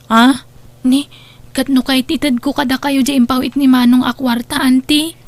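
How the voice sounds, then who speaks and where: Woman acting very high, sweet young sister, Ilocano accent. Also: flat delivery, normal speed. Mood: uneasy, respectful. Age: young adult. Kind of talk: Ilocano radio-style drama acting.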